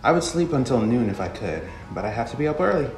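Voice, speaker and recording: A man voicing a friendly, plainspoken delivery woman in a visual novel, chatty and expressive. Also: medium pitch, fast. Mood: friendly, dismayed. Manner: expressive, animated. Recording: echoey room under music.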